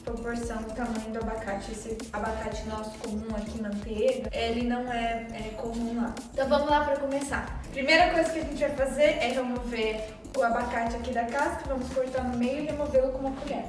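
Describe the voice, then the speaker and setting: A woman's voice: fairly high pitch, steady tone, fairly quick, very echoey, distant mic, over music. A Brazilian woman hosting a home cooking tutorial, explaining the recipe in a chatty way with lots of filler tags. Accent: Brazilian Portuguese. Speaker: cooking show host. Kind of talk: bilingual cooking tutorial chat.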